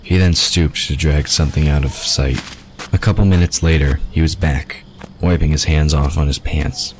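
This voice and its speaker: A man's voice: extremely low pitch, steady tone, normal speed, a little hiss or hum. A man narrating a scripted fantasy audio drama. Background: ambient sound effects.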